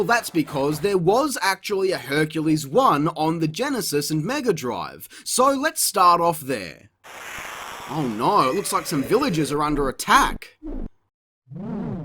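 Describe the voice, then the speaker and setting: A man's voice: on the high side, very animated, a little slow, pushed and bright, a little hiss or hum. A man giving comedic, partly scripted video review commentary in an exclamatory, sarcastic, crude way. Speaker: video game reviewer, host.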